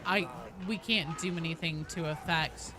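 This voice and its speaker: A woman's voice: low voice, measured pace, crowd noise. A woman game streamer chatting casually through live gameplay, informal and sweary, full of gamer slang. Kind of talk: casual live gameplay commentary to chat and teammates.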